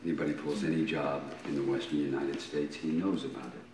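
An actor playing a smooth, calm con man in a film, speaking with understatement. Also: extremely low pitch, fairly quick. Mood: calm, sly. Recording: echoey distant film audio with noise.

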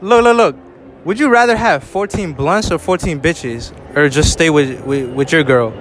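A man's voice: on the high side, normal speed, a little hiss or hum. A young man from New hosting a casual street interview in a shopping mall, talking into a handheld mic in upbeat slang and repeating "all right" a lot. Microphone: handheld mic held to speakers.

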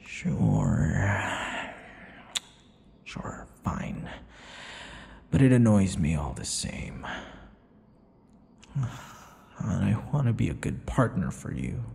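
Guy doing a creepy yandere vampire boyfriend, asmr roleplay, taunting and whispery, swoopy pitch, big echoey reverb. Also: big pitch swings, dark tone, heavily acted. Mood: annoyed, coaxing. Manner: taunting, drawn-out, slipping into breathy whispers. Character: possessive, sadistic yandere male vampire captor. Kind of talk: intimate ASMR roleplay acting. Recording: long hall-like reverb.

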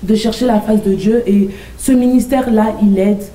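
A woman's voice: medium pitch, fairly even intonation, moderate pace, dark tone, roomy sound, distant mic, rough recording. A young woman from France giving an earnest church testimony as an interview guest, hesitating often. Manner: earnest, many hesitant fillers. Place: TV studio set.

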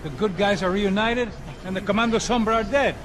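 An actor playing a friendly security colleague, talking casually and reassuringly in a game cutscene. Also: male voice, sits high, brisk, background noise, natural voice. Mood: reassuring, tired. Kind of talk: dramatic game cutscene dialogue.

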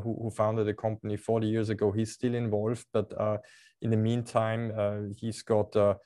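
Austrian man with a deep, dry voice. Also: dark tone, no room sound. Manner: hesitant, many fillers and repeated words. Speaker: cruise company representative. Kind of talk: video call interview. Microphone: video call.

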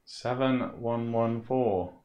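A man's voice: mid-range voice, slow pace, warm tone, rough recording. A middle-aged British man co-hosting a live prize draw with casual, jokey chat. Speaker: competition live-draw co-host. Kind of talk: casual chatty banter. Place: indoor studio.

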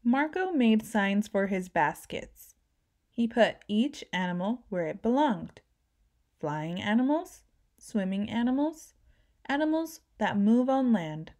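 A woman reading a children's picture book aloud, narrating gently and giving the characters lively voices. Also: a little high, takes it slowly. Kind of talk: read-aloud storytelling.

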